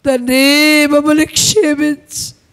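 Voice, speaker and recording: A man's voice: very high voice, level tone, takes it slowly, a bit bright. A man leading a spoken prayer with a repetitive, pleading cadence, heard from farther off at times. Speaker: prayer leader.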